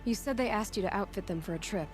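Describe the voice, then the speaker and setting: Woman doing a guarded young huntress heroine, dry and direct, medium pitch, soft music behind. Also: fast talker, lightly acted. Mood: skeptical, probing. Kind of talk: video game cutscene acting.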